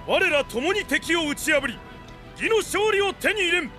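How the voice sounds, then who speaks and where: High, fervent young samurai idealist. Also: male voice, expressive, normal speed, sharp tone, faint music behind, heavily acted. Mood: impassioned. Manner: theatrical, declamatory. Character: zealous righteous young samurai strategist, grandly idealistic. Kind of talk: Japanese samurai game cutscene acting.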